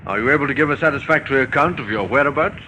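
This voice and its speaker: A man acts as a male police inspector in an old radio play. His voice is crisp and bright, courteous but probing as he works through his questions. A faint hiss of aged recording sits behind him. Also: medium pitch, lightly acted. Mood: brisk questioning. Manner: brisk, questioning, polite. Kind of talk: 1950s radio drama acting.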